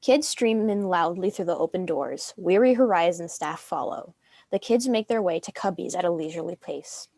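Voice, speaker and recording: Young woman narrating, slightly low, dry recording. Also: lively intonation, moderate pace, warm tone, no room sound. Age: young adult. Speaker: stage directions narrator. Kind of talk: scripted narration.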